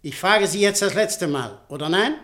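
High-voiced, domineering male business boss. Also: animated, normal speed, fairly bright tone. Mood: curt, commanding. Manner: curt, commanding. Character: domineering, calculating business director who buys and threatens. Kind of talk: German radio play acting.

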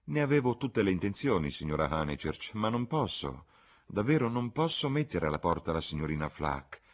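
A man voicing a fussy, plaintive, snobbish country gentleman in an audiobook, talking in a fretful, wordy, exclamatory way. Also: low-pitched, sing-song, moderate pace. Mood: apologetic. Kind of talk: audiobook character voice.

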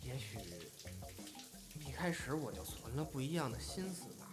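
An actor playing a playful, love-struck young man, teasing and excitable, with a northern Chinese accent in an audio drama. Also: medium pitch, normal speed, close to an everyday voice. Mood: troubled musing. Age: young adult.

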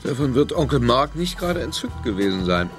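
An actor playing a demanding, impatient police superior in a German radio drama, commanding and dryly sardonic. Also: male voice, medium pitch, sing-song, fairly quick, over music, lightly acted. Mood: dry, businesslike.

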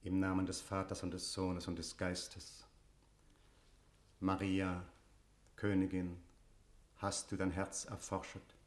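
An actor playing a devout, insistent priest hearing confession, in solemn, declaimed verse for a classical radio drama. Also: male voice, low-pitched, completely flat delivery. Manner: solemn, measured, liturgical declamation.

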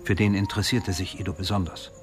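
Ein Schauspieler spielt im Hörspiel einen bedrückten, nachdenklichen Akademiker, der behutsam berichtet. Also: male voice, low voice, little pitch movement, quick pace, music in the background. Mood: uneasy, reflective. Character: troubled, reflective male academic, recalling a colleague. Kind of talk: radio drama dialogue.

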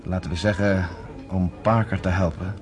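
An actor playing a confident, courteous underground leader in a radio play, speaking in a measured, formal way. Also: male voice, sits low, fairly even intonation, faint music behind, natural voice. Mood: persuasive. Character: confident, persuasive underground leader, former army captain, courteous.

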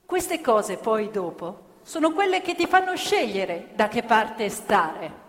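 A woman performing a theatrical narrative monologue in a dramatic, deliberate manner. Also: fairly high pitch, very animated. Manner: dramatic, deliberate, with acted-out dialogue and repetition. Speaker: stage actress and storyteller. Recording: reverberant hall with live music.

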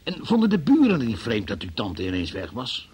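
An actor playing a formal, sceptical examining magistrate who questions insistently in a Dutch radio play. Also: male voice, mid-range voice, wildly swooping pitch, brisk, darkish tone. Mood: indignant, sceptical. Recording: noisy old radio play recording.

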